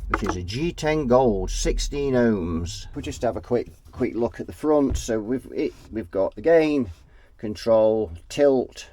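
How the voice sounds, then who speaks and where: A man's voice: on the low side, a little mellow, slight background noise. A man with a head cold, sounding nasal and sniffly, talking casually through an amp repair at his workbench and often trailing off mid-thought. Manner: thinking aloud, trailing off and restarting. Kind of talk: casual workshop repair walkthrough.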